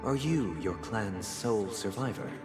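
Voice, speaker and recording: An actor playing a composed, fastidious and authoritarian inquisitor in a video game, speaking in a formal, measured, sanctimonious way. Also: male voice, fairly low pitch, lightly acted. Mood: cool interrogation. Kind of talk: dramatic video game voice acting. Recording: long hall reverb under loud music.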